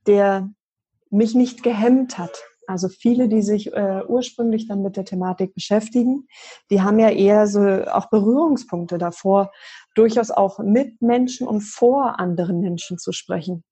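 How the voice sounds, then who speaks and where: Woman, from Berlin, middle-aged, husky, warm tone, measured interview talk. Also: medium pitch. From Berlin, Germany. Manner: measured, articulate, occasional fillers. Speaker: guest, leadership coach. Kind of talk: conversational interview.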